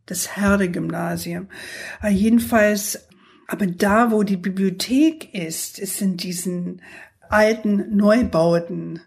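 A woman's voice: medium pitch, slow, vocal fry, a little mellow. An older woman from the United States, a native English speaker, chatting as an interview guest, often searching for words and adding tag questions. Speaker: guest, author. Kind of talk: casual conversational interview.

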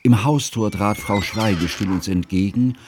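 A man acting a scholarly, scrupulous narrator in a radio play. He has a low voice and tells his friend's story in precise, ornate, reflective literary sentences. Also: moderate pace, background noise, lightly acted. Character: learned, scrupulous friend narrating a composer's life.

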